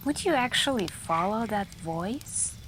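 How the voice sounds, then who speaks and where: Slow, soft-spoken woman telling spooky stories. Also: mid-range voice. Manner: hushed and intimate, drifting into whispers, with pauses. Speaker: ASMR roleplay performer playing a friend telling campfire stories. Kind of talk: soft-spoken ASMR scary storytelling. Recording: steady background ambience noise. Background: crackling campfire and night ambience.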